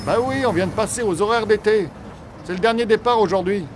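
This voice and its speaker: An actor playing an easygoing country bus driver in an audio drama, casual and familiar. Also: male voice, high voice, brisk, lightly acted. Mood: matter-of-fact. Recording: street and vehicle noise behind.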